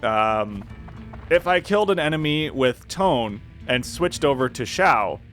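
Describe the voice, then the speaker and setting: A man with a medium-pitched voice commentates a video game playthrough. He is chatty and casual, wandering off on tangents and poking fun at himself. Faint music plays behind his voice. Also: expressive, unhurried, a bit bright. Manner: chatty, rambling, self-deprecating. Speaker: gaming video host.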